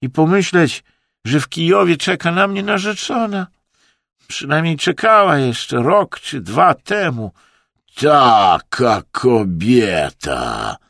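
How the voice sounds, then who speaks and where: A man voicing a hysterical, drunken and mocking Russian hunter in an audiobook, with theatrical wailing and drawn-out exclamations. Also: fairly low pitch, lively intonation, no room sound, clean studio sound, full character voice. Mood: anguished wailing.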